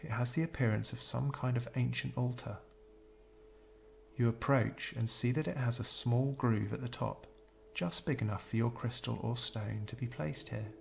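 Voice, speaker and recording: A man guides a meditation in a gentle, measured delivery. His mid-pitched voice has a noticeable fry to it, and he leaves soothing pauses between scripted phrases. Noise is clearly audible behind him.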